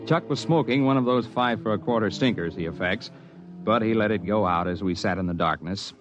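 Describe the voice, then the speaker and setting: An American actor playing a level-headed outdoorsman in an old radio drama, telling his story in a measured, plainspoken way. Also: on the low side, muffled recording, music in the background, natural voice. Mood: calm, reflective. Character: level-headed male outdoorsman narrating his mountain adventure. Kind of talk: old-time radio drama acting, first-person narration and dialogue. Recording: noisy vintage radio drama recording.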